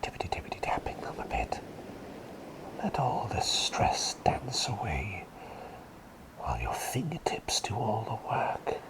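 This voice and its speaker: A man playing a gentle, curious masked plague doctor in a whispered ASMR roleplay, breathy, playful and polite. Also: fairly low pitch, huge pitch swings, unhurried, low-quality recording. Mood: gently inquisitive. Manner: soothing, playful, polite murmuring.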